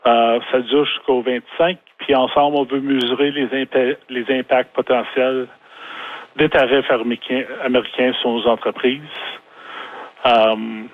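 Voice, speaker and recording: A man from Canada, a business owner, giving a phone interview in a halting way, restarting words and filling pauses. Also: mid-range voice, flat delivery. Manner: halting, many restarts and fillers. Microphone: telephone. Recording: tinny phone line.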